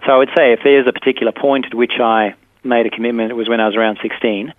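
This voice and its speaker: A man's voice: mid-range voice, level tone, fast talker, no echo. South African man on a tinny phone line. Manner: thoughtful, hesitant with many fillers. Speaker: interview guest, teacher and filmmaker. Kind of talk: phone interview, casual storytelling. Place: room in a school. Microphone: telephone.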